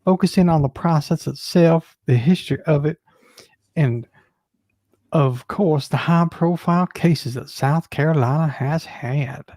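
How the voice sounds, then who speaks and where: A man with a fairly high but mellow voice, recorded dry. He reads documents aloud in the informal setting of a live panel show. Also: a little slow, no echo. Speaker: panellist reading emails aloud.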